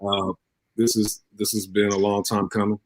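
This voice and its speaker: A man with a very low voice speaks as a guest in a dry, close sound. His delivery is earnest and fairly level, with measured, hesitant phrasing. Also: level tone, no room sound, low-quality recording. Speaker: interview guest, cast member. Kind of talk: earnest casual talk.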